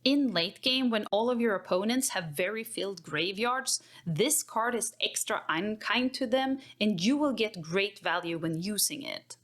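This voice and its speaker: A woman's voice: mid-range voice, animated, unhurried, hardly any pauses, fairly bright tone. A woman playing a cheerful, geeky techpriest who chats informally while narrating card game lore in character. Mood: fond, playful.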